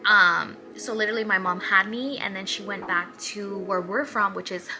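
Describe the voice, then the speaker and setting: A woman's voice: mid-range voice, fairly even intonation, normal speed, music in the background, low-quality recording. A woman in her twenties from Mexico, chatting upbeat and rambling through a get-to-know-me vlog. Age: 20s. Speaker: hairstylist vlogger. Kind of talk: casual Q&A vlog.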